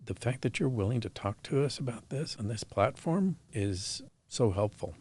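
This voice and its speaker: A man's voice: medium pitch, expressive, moderate pace, a little mellow, husky. A man hosting a podcast interview, speaking in an earnest, supportive, emphatic way. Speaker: podcast host. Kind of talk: interview conversation.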